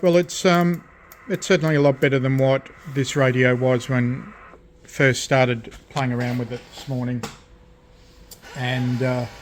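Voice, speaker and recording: Relaxed man at his workbench. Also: medium pitch, a little hiss or hum. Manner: relaxed, thinking aloud with fillers and restarts. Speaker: radio restorer. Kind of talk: casual repair vlog narration. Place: home workshop at a workbench. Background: rain storm outside.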